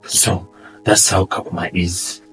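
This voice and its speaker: A man with a Ugandan accent, answering interview questions earnestly and often trailing off quietly. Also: deep voice, level tone, unhurried, far from the mic, soft background music, poor audio. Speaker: guest, asylum seeker.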